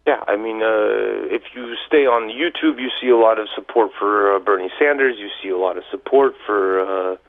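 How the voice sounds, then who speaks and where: Deep-voiced guy in his late 40s calling in, lots of uhs, tinny phone sound. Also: normal speed, hardly any pauses. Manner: hesitant, many fillers. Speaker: caller. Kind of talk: call-in chat.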